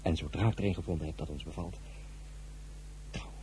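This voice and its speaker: An actor playing a courtly, confident general in love in a radio drama, speaking with formal gallantry. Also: male voice, extremely low pitch, fairly even intonation, a little fast, warm tone, slight background noise, poor audio. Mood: tender, confident.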